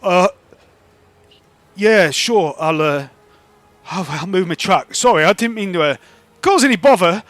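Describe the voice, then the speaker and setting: A man's voice: high voice, lively intonation, unhurried, pauses often, a bit bright, no room sound, faint music behind. A man voicing a nervous, apologetic delivery driver who stammers, in a tabletop game. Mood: flustered, apologetic. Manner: flustered, stammering.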